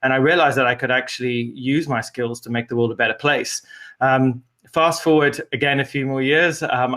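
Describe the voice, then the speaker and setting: A man's voice: medium pitch, fairly bright tone. A man from Zimbabwe, a guest in an interview, telling his story earnestly with many fillers and restarts. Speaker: guest, media company co-founder. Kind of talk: conversational interview answers.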